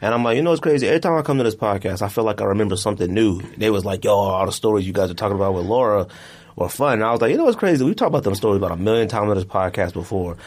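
A man's voice: on the low side, lively intonation, very fast, darkish tone. A man hosting a casual podcast in a studio, talking in loose slang and repeating himself for emphasis. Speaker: podcast host. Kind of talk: casual sneaker podcast banter.

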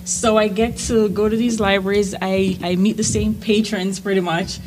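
A woman's voice: medium pitch, level tone, normal speed. A woman from Jamaica speaking as a panel guest, telling stories about her work in a casual way. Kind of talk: casual anecdotal storytelling. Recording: noisy live room with clicks.

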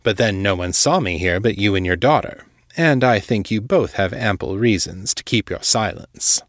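A man acts out an urbane vampire lord in his fifties for an audiobook. The voice is very low and closely dry. He speaks formally and without hurry, gently condescending, like someone used to command. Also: animated, dry recording, natural voice. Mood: disapproving, composed. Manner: formal, unhurried, smoothly chiding.

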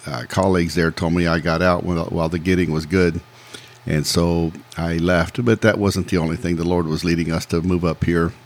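A man in his sixties, from Peru, recounting his life in a measured, plainspoken way as a podcast guest. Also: sits low, fairly even intonation. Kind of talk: casual interview storytelling.

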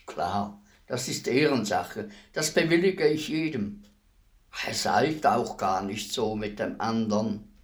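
An actor playing a servile state executioner in a radio play, terse, deferential and matter-of-fact. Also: male voice, on the low side, slow pace. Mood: polite, accommodating. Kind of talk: German radio play acting.

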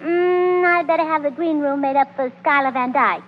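An actress playing a sweet, scatterbrained, meddling young wife in a 1930s radio comedy, chattering earnestly and hesitantly. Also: female voice, sits high, moderate pace, close to an everyday voice. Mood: excited chatter. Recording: muffled, hissy 1930s radio recording.

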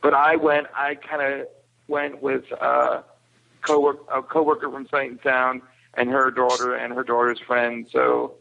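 A man's voice: mid-range voice, flat delivery, a little slow, creaky, phone-quality sound. A man speaking casually as a podcast guest reviewer, hesitant and restarting his phrases.

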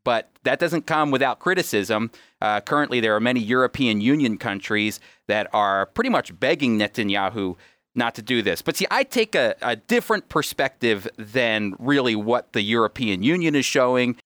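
Emphatic American radio teacher, dry sound. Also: male voice, medium pitch, expressive, moderate pace, fairly bright tone, dry recording. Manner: emphatic, explanatory. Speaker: radio host and Bible teacher.

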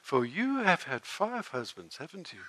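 A man voicing a calm, gentle male teacher, speaking softly and in a measured way. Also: a little high, exaggerated voice, poor audio. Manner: soft, measured and unhurried.

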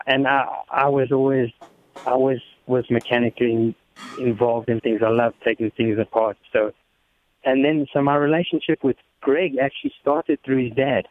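South African man on a muffled line. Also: medium pitch. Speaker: motorcycle race team member and interview guest. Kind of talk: casual interview storytelling. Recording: muffled narrow-band phone or radio sound.

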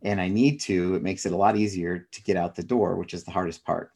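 A man's voice: low voice, steady tone, a little fast, gravelly, darkish tone, slightly rough. A middle-aged man speaking as an interview guest, explaining his thinking in a reflective, conversational way. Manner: reflective, explains through personal examples.

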